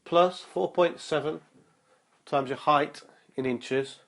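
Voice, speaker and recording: A man's voice: a little high, creaky, a bit bright. Upbeat man, a bit nasal from a cold. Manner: upbeat, motivational, many filler ums and uhs; slow and careful when reading out numbers. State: nasal from a cold, sore throat. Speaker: fitness vlogger. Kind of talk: casual vlog talk and explainer.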